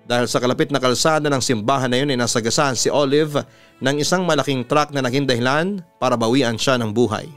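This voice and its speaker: A man's voice: mid-range voice, fast, fairly bright tone. A man, a radio storyteller, reading letters and narrating in an earnest, dramatic style. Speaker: radio announcer and storytelling host. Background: music under the voice.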